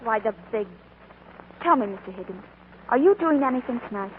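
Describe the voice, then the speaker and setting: A woman's voice: on the high side, expressive, fairly quick, phone-quality sound, slight background noise, lightly acted. An actress playing an earnest, big-hearted young shopgirl in a 1940s radio drama, on an old broadcast recording. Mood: eager, anxious.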